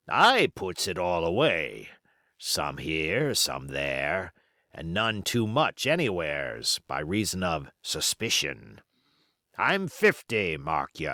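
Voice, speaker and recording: Man performing a wily pirate cook, fifties, low pitch, swooping intonation, salty, boastful, storybook villain. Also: bright, forceful tone, no room sound, clean studio sound, exaggerated voice. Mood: boastful, confiding. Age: 50s. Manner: salty, rambling, folksy boasting. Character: wily fifty-year-old one-legged pirate sea cook, boastful and flattering.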